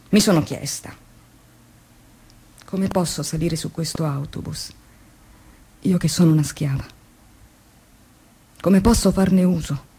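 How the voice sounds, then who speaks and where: A husky, weary woman factory worker. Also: on the low side, mellow, heavily acted. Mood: bitter, resigned. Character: weary, introspective woman factory labourer keeping a diary. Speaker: stage actress performing a monologue. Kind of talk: dramatic first-person theatre monologue, diary reading.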